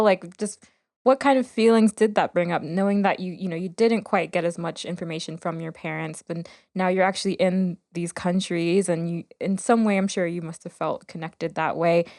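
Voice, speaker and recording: A woman co-hosting a chat podcast, her voice a little lower than most. She asks curious, probing follow-up questions. The recording is dry and close. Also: a little mellow, no echo. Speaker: podcast co-host. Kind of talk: casual interview chat.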